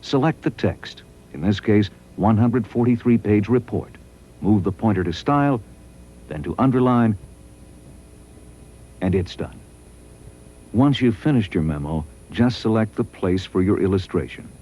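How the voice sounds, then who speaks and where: Male narrator, low mellow voice, measured instructional delivery, scripted promo read. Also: slight background noise.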